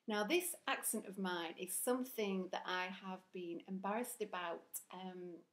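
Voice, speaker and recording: A woman's voice: mid-range voice, measured pace. A woman from Northern England with a broad Northern English accent, talking earnestly to camera in a chatty vlog with frequent ums. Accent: broad Northern English, Rochdale and South Yorkshire mix. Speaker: vlogger. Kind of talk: casual talk to camera.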